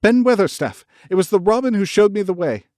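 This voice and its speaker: A man's voice: fairly high pitch, big pitch swings, normal speed, a little mellow, no echo, studio quality, pitched above the performer's own voice. A man reading the part of an obstinate young girl, protesting urgently.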